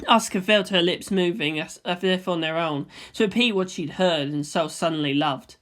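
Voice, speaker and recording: A woman's voice: lowish voice, normal speed, fairly bright tone. A woman reading scripted fiction as its narrator.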